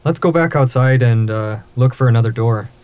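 A man's voice: medium pitch, normal speed, darkish tone, slight background noise, natural voice. An actor playing a glib, bantering space crewman in an audio drama. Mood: curious. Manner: glib, bantering, mock-formal. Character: wisecracking, laid-back male space crewman, defiantly jokey.

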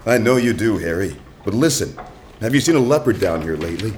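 A man playing a wisecracking hardboiled detective in a noir radio drama, dry and deadpan with his wordplay. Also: on the low side, brisk, a little hiss or hum, lightly acted. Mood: wry, persistent.

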